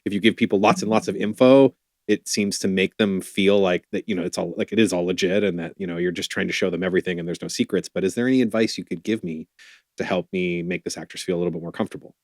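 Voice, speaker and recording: A man's voice: sits low, fairly even intonation, fast talker, darkish tone, dry recording, studio quality. A man hosting a casual interview, rambling and repeating words as he talks. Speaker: interviewer, filmmaker.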